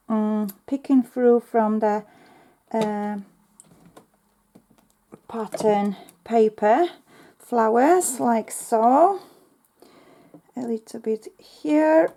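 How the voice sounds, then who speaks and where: A woman with a talks her way slowly through a crafting tutorial, pausing often with ums. Her voice sits a little high but sounds mellow and soft, slightly worn as if she is losing it. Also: vocal fry. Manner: chatty, talks to herself while working, frequent ums. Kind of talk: casual step-by-step craft tutorial.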